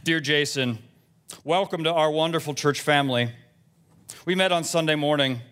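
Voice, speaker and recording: Male performer as elderly busybody church lady, self-righteous, indignant, gossipy, blunt, comic letter reading, somewhat high pitch. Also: normal speed, fairly bright tone, clean tone, close to an everyday voice. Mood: chatty, prim. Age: seventies. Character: nosy, self-righteous Southern church lady in her seventies. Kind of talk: comic reading of emails aloud.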